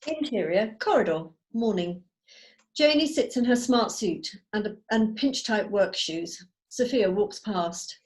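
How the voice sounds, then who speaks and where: A woman's voice: mid-range voice, expressive, a little slow, a little mellow. A woman giving scripted narration, reading out stage directions on a video call.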